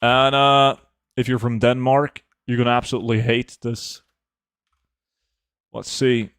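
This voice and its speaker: A young man streaming a game, chatting casually and answering viewer questions in a relaxed, offhand way. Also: mid-range voice, measured pace, smooth, no room sound, studio quality. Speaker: gaming streamer reading chat. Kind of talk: casual live gameplay chat.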